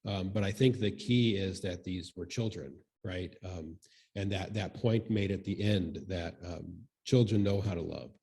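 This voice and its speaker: Thoughtful guy with a lowish relaxed voice, kinda feeling his way thru a comment at a church discussion. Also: vocal fry. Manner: halting, thoughtful, tentative. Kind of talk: informal reflective commentary.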